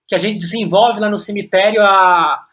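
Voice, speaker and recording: Brazilian man, interview guest, reflective and halting delivery with frequent repeated words. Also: high-pitched, fairly even intonation, lo-fi sound. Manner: halting, repeats words while thinking aloud. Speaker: guest playwright and director.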